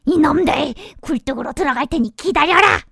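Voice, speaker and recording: A creaky, greedy big bad wolf from a children's storybook, acted out by a woman. The delivery is boastful and threatening, with drawn-out theatrical lines, recorded close and dry. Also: high-pitched, expressive, fairly bright tone, dry recording, exaggerated voice. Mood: gloating, menacing.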